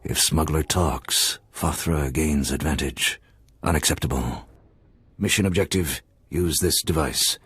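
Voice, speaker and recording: An actor playing a gruff, secretive alien fixer in a video game, talking in clipped, telegraphic broken phrases with a husky voice. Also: male voice, very deep voice, measured pace, fairly bright tone, no room sound, full character voice. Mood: terse, secretive.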